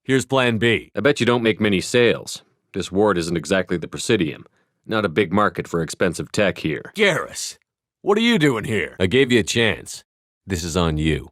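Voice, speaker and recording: A man's voice: deep voice, a bit bright, dry recording, studio quality. A man voicing a tough, commanding space soldier and squad leader in a video game, with terse, firm delivery. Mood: stern, then cool, firm. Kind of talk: cinematic game dialogue lines.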